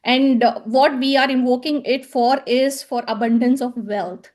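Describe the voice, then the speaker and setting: Woman presenter, fairly high pitch, hesitant and repetitive, lecture tone. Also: steady tone, a little slow, a little mellow. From South India. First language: Tamil. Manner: hesitant, many fillers and repeated words. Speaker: conference presenter. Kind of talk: academic presentation with slides.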